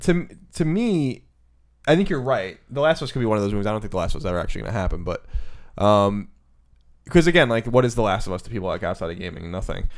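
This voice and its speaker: A man's voice: fairly low pitch, animated, fast. An American man chatting casually on a panel podcast, emphatic and opinionated, swearing freely. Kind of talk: casual opinionated group chat.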